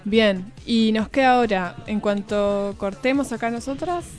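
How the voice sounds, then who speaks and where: A woman's voice: a little high, measured pace. A woman with a Rioplatense Argentine accent, hosting a casual radio chat. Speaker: radio show host. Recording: music under the voice. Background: music.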